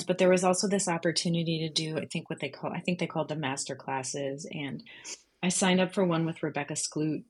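A woman's voice: on the low side, breathy edge. A woman speaking as an interview guest, telling an anecdote in a casual, conversational style. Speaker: interview guest, freelance journalist.

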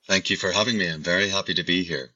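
Deep-voiced northern irish guy, hesitant, lots of uhs, sharp bright tone. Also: fairly even intonation, fast talker, breathy edge, no room sound, lo-fi sound. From Northern Ireland. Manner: hesitant, many fillers and restarts. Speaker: guest, company founder. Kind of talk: podcast interview.

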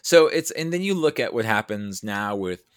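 Low-voiced man, halting podcast guest. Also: lively intonation, clean tone, no room sound, studio quality. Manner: halting, rambling, thinks aloud. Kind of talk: casual film discussion.

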